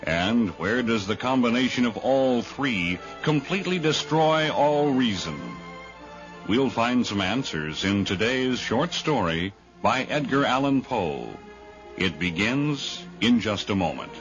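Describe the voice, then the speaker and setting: Over music, a man announces in 1950s radio style. He is slow and portentous, placing weighty pauses in a dramatic scripted introduction, and the old recording is muffled. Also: mid-range voice, expressive, fairly bright tone.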